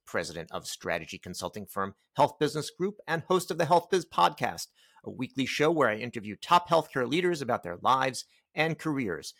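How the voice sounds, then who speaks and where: A man's voice: medium pitch, creaky, fairly bright tone, no room sound. A man hosting an interview podcast, reading a scripted intro and then asking questions with dry joking asides. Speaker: podcast host.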